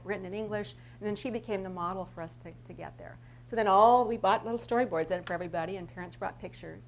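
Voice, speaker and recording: An American woman giving an informal live presentation as a school principal, telling stories in a conversational, rambling way and often rephrasing mid-sentence. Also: medium pitch. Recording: live talk with background noise and hum.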